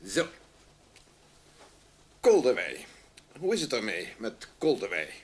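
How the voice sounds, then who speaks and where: An actor playing an imposing, jovial business boss in a radio drama, expansive, commanding and ironically flattering. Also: male voice, fairly low pitch, slow pace, full character voice. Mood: probing, needling. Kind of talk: naturalistic Dutch radio drama.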